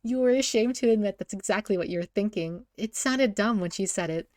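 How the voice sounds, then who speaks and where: A woman's voice: medium pitch, fairly quick, darkish tone, no echo, clean studio sound. A woman reading a story aloud as casual narration, with an informal, wry delivery. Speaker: story reader.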